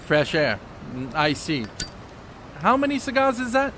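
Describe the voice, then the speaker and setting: A man playing an educated, guarded, principled ballplayer in an audio drama, speaking in a measured, correcting way. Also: fairly high pitch, big pitch swings, background noise. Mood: wary, hesitant. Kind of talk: audio drama acting, 1930s setting.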